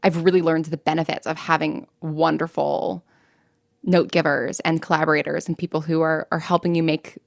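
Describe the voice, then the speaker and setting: A woman's voice: deep voice, level tone, moderate pace, vocal fry, dry recording, studio quality. A woman talking casually and candidly as a podcast creator being interviewed, often quoting imagined remarks.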